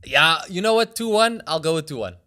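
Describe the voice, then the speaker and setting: A man bantering casually about football as a podcast co-host, slangy and animated in his reactions. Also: on the high side, brisk. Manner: slangy, keeps saying bro, animated reactions.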